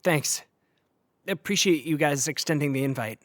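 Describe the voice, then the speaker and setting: A man playing a nervous, bright seventeen-year-old boy in an audio drama, speaking hesitantly and politely. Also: fairly high pitch, normal speed, dry recording, close to an everyday voice. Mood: polite, curious. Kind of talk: naturalistic audio drama acting. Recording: music behind the voice.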